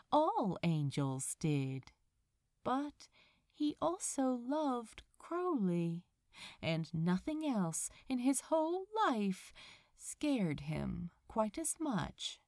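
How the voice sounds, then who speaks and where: A woman reading fiction aloud as a narrator, in an expressive, measured storytelling manner. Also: medium pitch, dry recording, clean studio sound. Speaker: podfic narrator.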